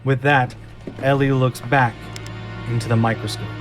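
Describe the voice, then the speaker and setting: A man narrating a scripted audio drama in a measured, descriptive manner. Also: medium pitch, flat delivery, over music.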